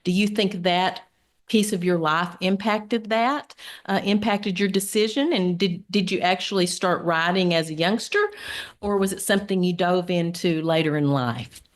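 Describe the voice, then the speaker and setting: A woman's voice: fairly low pitch, normal speed. A woman hosting a podcast, welcoming a guest and asking questions in a casual, earnest way with frequent fillers and restarts. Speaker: podcast host. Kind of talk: casual interview.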